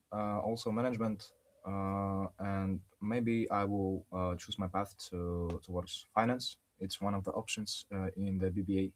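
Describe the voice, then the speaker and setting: A young man from Yakutia in Russia, an undergraduate student, introducing himself casually and filling his speech with "like" and "uh", over a video call. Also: lowish voice. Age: young adult. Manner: relaxed, filler-heavy with frequent "like".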